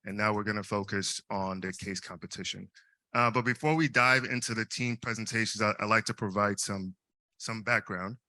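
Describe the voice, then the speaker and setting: Bright, halting young man emceeing. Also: fairly low pitch, fairly even intonation, no room sound. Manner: hesitant, frequent repeated words. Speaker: student event host. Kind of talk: live event emceeing.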